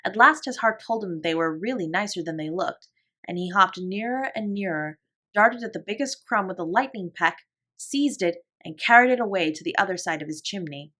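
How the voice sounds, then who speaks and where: A woman narrating a classic children's novel as a bedtime story, in a calm, gentle, measured voice. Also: medium pitch. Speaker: audiobook reader.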